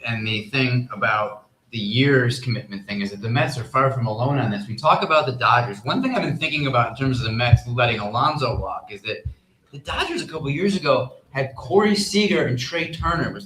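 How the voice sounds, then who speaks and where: Male panel analyst, medium pitch, conversational and measured, in a roomy, reverberant live stage recording. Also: fairly bright tone. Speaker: sports panelist. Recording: echoey live stage sound.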